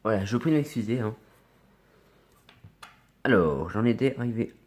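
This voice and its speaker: A man's voice: fairly low pitch, animated, normal speed, a little mellow, slightly rough. A man with a cold chatting casually to viewers as he scratches lottery tickets, often muttering and spelling out letters one at a time. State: has a cold. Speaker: scratch card vlogger.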